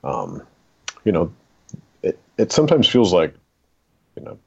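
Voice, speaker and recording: Male guest author, gravelly and crisp, dramatic storyteller delivery, slightly dull recording. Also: huge pitch swings, a little slow. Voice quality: very deep, gravelly. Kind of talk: literary discussion and storytelling.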